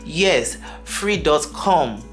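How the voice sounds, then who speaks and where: A man's voice: a little high, lively intonation, very slow, fairly bright tone, soft background music. A young man from Nigeria, speaking with a Nigerian accent that drifts toward American, gives chatty, upbeat travel advice to camera as a vlogger. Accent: Nigerian, shifting toward American. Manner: chatty and upbeat, with asides and restarts.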